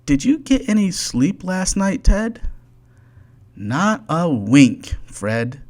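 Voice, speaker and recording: Narrator playing friendly male storybook dog, high raised pitch, sing-song, swooping intonation, chatty. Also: sing-song, takes it slowly, darkish tone. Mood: puzzled.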